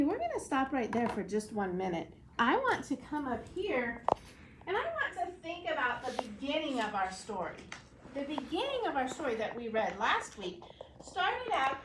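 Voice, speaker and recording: A woman schoolteacher giving an expressive storybook read-aloud lesson in a clear, explanatory classroom manner. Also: sits high, background noise.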